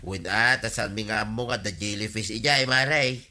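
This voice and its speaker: A man's voice: on the low side, moderate pace, bright, forceful tone. A male actor playing a folksy village pal in an Ilocano radio comedy drama, chummy and colloquial, with an Ilocano accent.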